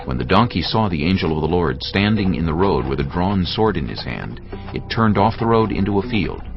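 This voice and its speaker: A man with an extremely deep, gravelly voice narrates scripture in a dramatized, scripted style. His intonation moves widely. The audio is slightly dull, with soft music beneath. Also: big pitch swings, brisk.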